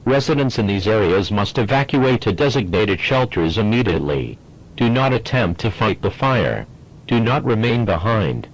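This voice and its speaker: A stern, official emergency broadcast announcer played by a man with a fairly low voice. He reads a formal scripted alert in a measured, impersonal way, giving each phrase the same grave weight. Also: lively intonation, low-quality recording.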